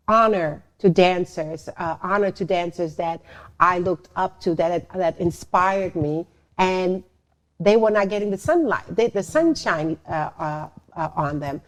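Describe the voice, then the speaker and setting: A middle-aged woman from Suriname and the Netherlands telling their story casually, often pausing and repeating words. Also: fairly low pitch. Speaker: show host and dancer telling their story.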